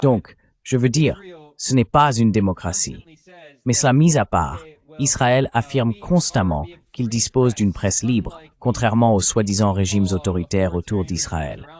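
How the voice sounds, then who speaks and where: A man's voice: fairly low pitch, lively intonation, unhurried, a little mellow. A man delivering political commentary as an analyst, in an emphatic, argumentative way.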